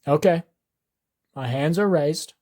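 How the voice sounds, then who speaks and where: A man puts on a teenage boy in an amateur fan story. He pitches the voice higher and sounds calm and reassuring, with stubborn defiance. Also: slow. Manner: reassuring, insistent. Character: calm defiant sixteen-year-old boy medic under arrest.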